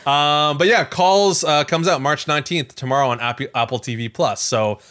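Bright-voiced man chatting on a podcast. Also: a little high, normal speed. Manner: rambling, frequent self-corrections and repeated phrases. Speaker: podcast co-host. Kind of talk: casual podcast chat.

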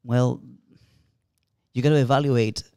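A man's voice: mid-range voice, fairly even intonation, unhurried, warm tone, clean tone, no echo, studio quality. A man co-hosting a casual discussion, opinionated and pressing his guest with pointed questions. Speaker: co-host.

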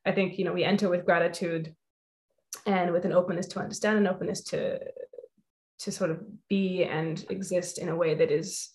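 A young woman with a lowish voice hosts the conversation. She speaks earnestly and reflectively, winding through her thoughts with frequent "you know"s. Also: fairly even intonation, moderate pace, darkish tone. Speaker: host.

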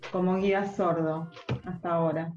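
Woman, sign interpreter, hesitant delivery, boomy dull room, faint music. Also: steady tone, darkish tone, reverberant. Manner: halting, many restarts and pauses. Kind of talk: interview answers voiced for a deaf guide.

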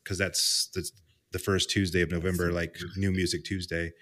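A fairly low-voiced American man talking in a bright, forceful tone. He hosts a loose podcast chat and rambles along cheerfully, full of ums and restarts. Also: flat delivery, normal speed. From United States. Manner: rambling, upbeat, with frequent fillers. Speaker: podcast host, musician. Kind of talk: casual chat.

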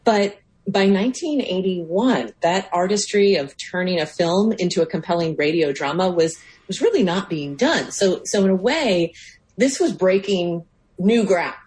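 A woman's voice: fairly low pitch, expressive, unhurried, darkish tone. An American woman co-hosting a radio show with conversational commentary.

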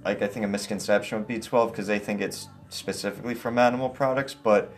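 A young man as a guest in a casual chat, soft-voiced, rambling with many "like"s and restarts. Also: fairly low pitch, steady tone, fast, faint music behind. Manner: rambling, heavy use of "like", restarts.

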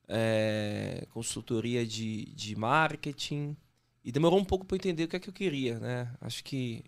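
A man in his thirties with a Bahian Brazilian accent, chatting casually as an interview guest. He talks informally, with slang and frequent self-corrections. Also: mid-range voice. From Salvador, Bahia, Brazil. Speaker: podcast guest, sales consultant. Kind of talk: casual interview chat, telling career story.

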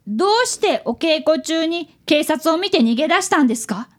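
A woman's voice: sits high, expressive, normal speed. A woman voicing a polite, earnest fifth-grade boy who is a detective's apprentice in a radio drama. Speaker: narrator and child detective. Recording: radio studio.

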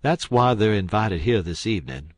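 A man's voice: lowish voice, lively intonation, normal speed, no echo, studio quality. A man voicing a smug, long-winded old con man in an audiobook, with pompous, falsely learned talk and folksy grammar. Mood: unctuous.

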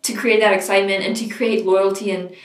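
A woman's voice: medium pitch, level tone, fairly quick. A woman from the United States who founded a beauty company, speaking in an interview in a conversational way with many fillers. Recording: roomy sound from a distant mic. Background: soft background music.

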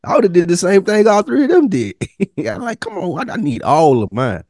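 A man's voice: high voice, sing-song, brisk, darkish tone, no room sound, clean studio sound. A man co-hosting a podcast in casual banter, slangy and emphatic, often repeating his phrases. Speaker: podcast co-host.

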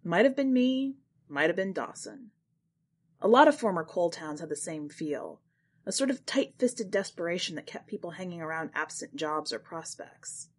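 A woman narrating literary short fiction from a script, with expressive, dramatic phrasing. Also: on the low side, normal speed. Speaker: podcast host and fiction narrator.

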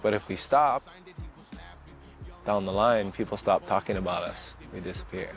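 Low-voiced man from Hawaii, earnest. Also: moderate pace, faint music behind. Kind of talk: casual interview.

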